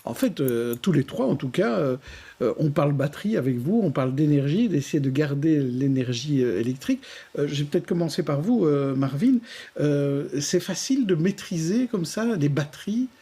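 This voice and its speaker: A man's voice: a little high, expressive, normal speed, mellow, slightly rough. A man hosting a studio talk show, prompting guests with questions and many fillers. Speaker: TV show host.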